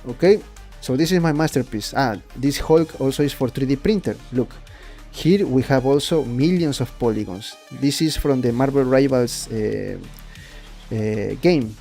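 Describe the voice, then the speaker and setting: A man's voice: medium pitch, normal speed, vocal fry, mellow, faint music behind. A young man from Ecuador with a Spanish accent, rambling and repeating words as he explains his 3D art on a casual livestream. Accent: Spanish-accented English. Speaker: 3D artist streaming his work.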